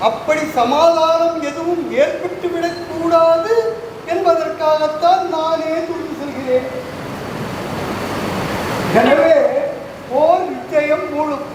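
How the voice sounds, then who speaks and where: Brief: actor as a calm divine envoy, slow and authoritative, high voice in a noisy hall. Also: recorded from a distance. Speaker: stage actor. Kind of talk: stage play acting. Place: auditorium stage.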